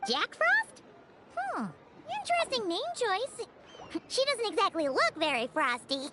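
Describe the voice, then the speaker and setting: A woman voicing a tiny, cheeky, excitable flying fairy girl in a video game, with a squeaky childlike voice. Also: measured pace, very noisy, exaggerated voice. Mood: chatty, curious. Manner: refers to self in third person, exclamatory.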